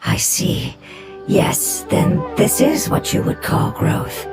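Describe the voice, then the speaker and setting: An actor voicing an ancient, calm and caring fungus-like nature creature that speaks in a breathy, slow whisper. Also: lightly acted. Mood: serene. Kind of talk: video game voice acting. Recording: game audio over loud music.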